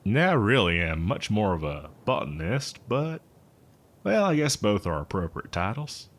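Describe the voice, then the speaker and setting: A man's voice: on the low side, very animated, exaggerated voice. A man playing an eccentric, cheerful botanist who survived from before the apocalypse, rambling hesitantly in a folksy roleplay monologue. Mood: musing, explanatory. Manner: hesitant, rambling, folksy, with chuckles and drawn-out words. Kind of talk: roleplay audio drama monologue addressed to listener.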